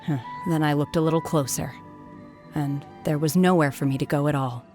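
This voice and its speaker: An actress voicing a reserved, melancholy young woman in a video game, measured and subdued. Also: sits low, steady tone, darkish tone, soft background music, close to an everyday voice.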